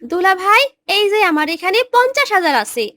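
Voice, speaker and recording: A woman's voice: very high-pitched, sing-song, a little fast, sharp tone, no echo, studio quality, full character voice. A woman voicing a kindly, pious young aunt in a family cartoon, with a colloquial Bangladeshi accent. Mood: approving. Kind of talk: Bengali cartoon dubbing.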